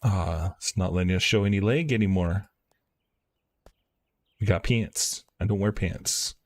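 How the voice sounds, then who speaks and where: A man's voice: sits low, unhurried, creaky, no echo. A man streaming himself playing an online game, chatting casually with frequent fillers. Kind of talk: casual live-stream commentary.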